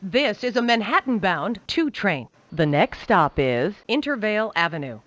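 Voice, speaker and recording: Woman train announcer, lively pitch swings. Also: no echo. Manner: measured, formulaic announcer delivery. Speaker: transit announcer.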